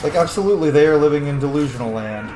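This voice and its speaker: A man's voice: a little high, lively intonation, racing, roomy sound, music in the background. A man hosting a gaming video, giving casual, opinionated and emphatic commentary on his gameplay.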